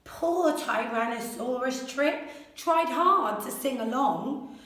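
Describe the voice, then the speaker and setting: A woman teacher reading a children's story aloud in an expressive, theatrical, deliberate way. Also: high-pitched. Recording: very echoey room, slightly distant mic.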